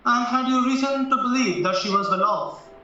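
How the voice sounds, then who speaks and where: A man's voice: very high voice, normal speed, sharp tone, a little hiss or hum. A man playing an irritable senior barrister in an audio play, formal and questioning in manner. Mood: businesslike, probing. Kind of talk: stage-style audio play acting. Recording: very echoey, distant, muffled, music behind.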